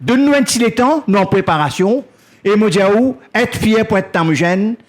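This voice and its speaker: A man's voice: high-pitched, expressive, moderate pace. A man earnestly announcing the launch of a community project, speaking in plain, simple phrases. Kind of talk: spontaneous announcement in creole-tinged French.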